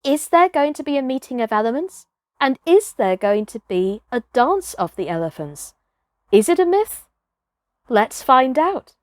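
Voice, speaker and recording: A woman reading a children's story expressively, with dramatic delivery that moves between hushed and emphatic. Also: high-pitched, sing-song, measured pace, no room sound, clean studio sound. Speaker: storybook reader, performer.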